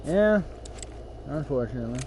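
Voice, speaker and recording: A man's voice: medium pitch, big pitch swings, normal speed, background noise. A man with braces streaming his hunting game, chatting in a laid-back, teasing way. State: wearing braces. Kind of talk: casual gameplay chat.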